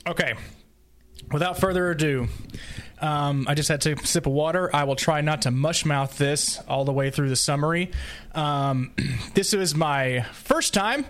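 Higher-pitched man reading a prepared summary. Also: unhurried, a bit bright. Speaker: podcast guest presenter.

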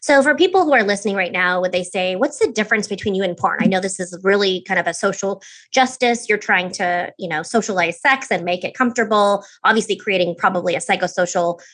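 A woman's voice: mid-range voice, a bit bright. A woman hosting a podcast, upbeat and enthusiastic as she introduces and questions a guest. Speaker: podcast host.

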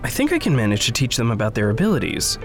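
An actor playing an earnest, stubborn idealist in an audio drama, speaking in precise, formal, halting phrases. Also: male voice, mid-range voice, wildly swooping pitch, faint music behind. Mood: earnest, hopeful. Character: earnest, stubborn idealistic man going undercover at a company. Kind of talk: naturalistic audio drama acting.

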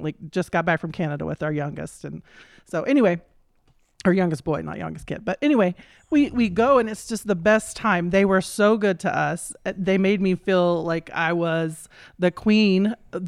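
A woman's voice: on the low side, creaky, no echo, clean studio sound. A middle-aged American woman telling stories casually as a podcast guest, chatty and acting out bits of dialogue. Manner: chatty, acts out quoted dialogue. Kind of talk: casual conversational storytelling.

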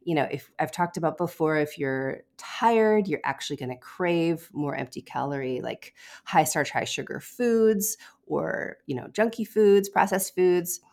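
Female podcast host, low voice, nasal from a cold, relaxed and encouraging delivery. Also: measured pace. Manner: relaxed, encouraging, chatty with light laughs. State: head cold, stuffy nasal voice. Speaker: podcast host and nutrition coach. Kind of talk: casual solo podcast advice.

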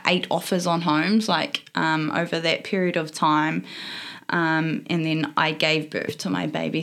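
A New Zealand woman in her thirties talks easily as a guest, her voice sitting a little low. She strings thoughts together with plenty of ums and a ready laugh. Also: a bit bright. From Eastern Bay of Plenty, New Zealand. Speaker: netball player guest. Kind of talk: casual interview chat.

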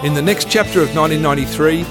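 A man reading a scripted first-person travel memoir as a podcast host, with wry, self-deprecating, measured storytelling in a studio on a close microphone. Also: fairly high pitch, loud music behind the voice.

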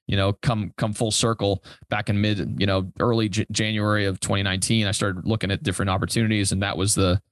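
A young adult man answers interview questions in a casual, conversational way. His voice sits fairly low and moves along quickly. He is a podcast guest talking informally. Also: flat delivery, no room sound, studio quality. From Europe, born in Italy and raised there and in Germany until fourteen. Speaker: podcast guest, commercial real estate broker.

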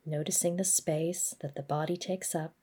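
Husky, low-voiced woman guiding meditation. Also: fairly even intonation. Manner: gentle, measured, instructional. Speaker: mindfulness practice guide. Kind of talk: guided body scan meditation narration.

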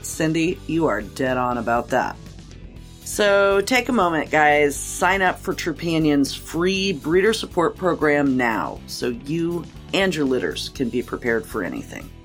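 A woman's voice: deep voice, sing-song, a little slow, faint music behind. A woman hosting a dog podcast, talking in an effusive, emphatic way and laughing often. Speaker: podcast host. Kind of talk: enthusiastic podcast chat.

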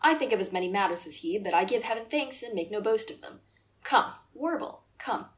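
A woman's voice: mid-range voice, expressive, fairly quick, lightly acted. A woman playing a melancholy, sardonic male courtier in a Shakespeare radio play, with a wry, insistent manner. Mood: wry, disdainful. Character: melancholy, sardonic courtier, a brooding cynical man.

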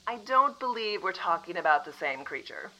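A woman acting a composed female official from the future in an audio drama, calmly apologetic and matter-of-fact. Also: on the low side, moderate pace, low-quality recording.

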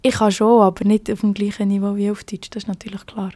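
A woman's voice: mid-range voice, little pitch movement, fast, mellow, dry recording. A young woman from Switzerland with a Swiss German accent, a police officer telling of her work in a podcast interview, hesitant and full of fillers.

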